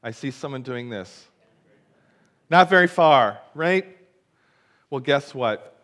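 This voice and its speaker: A man's voice: mid-range voice, expressive, slow, a bit bright. A middle-aged man, a pastor, reading the gospel and preaching a sermon in a church sanctuary, telling the story vividly and asking the congregation questions.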